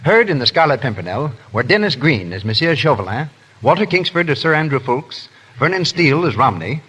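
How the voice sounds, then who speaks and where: A man's voice: a little high, big pitch swings, a little fast, fairly bright tone, slightly rough, long reverb tail, rough recording. An American man working as a radio announcer, reading ads and credits in a 1930s broadcast style.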